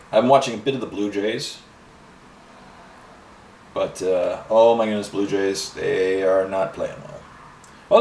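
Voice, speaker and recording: A man chatting casually as he paints miniatures for a hobby video, rambling from one thing to the next with plenty of fillers. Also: on the low side. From Ireland and Canada. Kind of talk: casual chat while painting. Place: home hobby room. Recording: roomy echo, voice a bit far from mic, faint noise.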